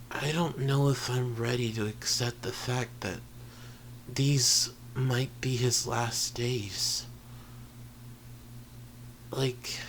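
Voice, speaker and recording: A man playing an earnest, vulnerable high-school boy in an intimate ASMR roleplay, speaking haltingly and sincerely. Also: fairly bright tone. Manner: halting, hesitant, sincere, often trailing into breathy murmurs. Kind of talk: ASMR roleplay audio drama, intimate confession. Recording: noisy home recording with ambience.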